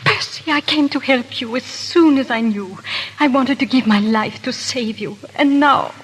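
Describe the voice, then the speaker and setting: A woman's voice: sits high, moderate pace, slightly rough, big-room sound. A woman acting a devoted, anguished young wife in a 1930s radio melodrama. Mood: anxious, loving. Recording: old 1930s radio broadcast.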